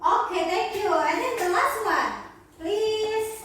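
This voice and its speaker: An Indonesian-accented woman teaches in a very high, slow voice. Her English is simple and halting. The recording is distant and heavily echoing, as in a bare room. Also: expressive, fairly bright tone. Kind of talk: classroom teaching. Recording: echoey room from afar, faint noise.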